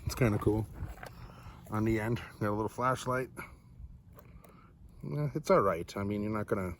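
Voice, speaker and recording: A man's voice: lowish voice, normal speed, slight background noise. A man giving a casual, unscripted product review to viewers in a laid-back, rambling, folksy way.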